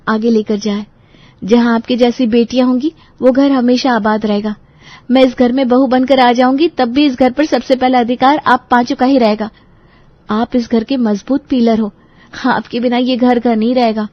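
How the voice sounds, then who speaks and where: A woman's voice: on the high side, steady tone, moderate pace, dry recording, close to an everyday voice. A woman playing a polite, earnest young bride-to-be in a family audio drama, with slightly dull sound. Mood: heartfelt. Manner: respectful, earnest. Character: polite, earnest young bride-to-be meeting her sisters-in-law.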